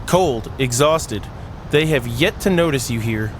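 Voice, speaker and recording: A man's voice: medium pitch, a little slow, slight background noise. A man hosting a gaming video, reading aloud and breaking off for playful, jokey commentary. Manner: self-interrupting, jokey, animated.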